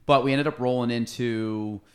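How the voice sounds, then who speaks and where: A man's voice: medium pitch, steady tone, moderate pace. A man hosting a podcast, chatting casually with a guest in a rambling, joking way full of fillers. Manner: rambling, many "like" fillers, jokey. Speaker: podcast host. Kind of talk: casual interview chat.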